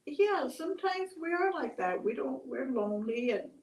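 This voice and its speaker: An older woman telling stories and reflecting on them in a gentle, halting, conversational way. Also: high voice, animated. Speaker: storyteller, host. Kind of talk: oral storytelling and reflective chat. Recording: echoey room, distant mic.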